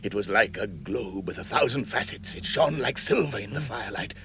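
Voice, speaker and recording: An actor playing an earnest, commanding dwarf leader with a longing for treasure, in theatrical vintage radio style. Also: male voice, fairly low pitch, lively intonation, a little fast, gravelly. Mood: reverent longing. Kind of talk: vintage radio drama acting. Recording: very noisy, crowded vintage radio drama.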